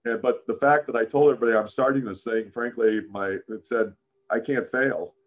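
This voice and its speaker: A man in his sixties with a deep voice, a guest in a casual interview, telling career stories with many "frankly"s and "uh"s. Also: a little fast, muffled recording. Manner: frequent "frankly" and "uh", animated anecdotes. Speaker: interview guest, business founder.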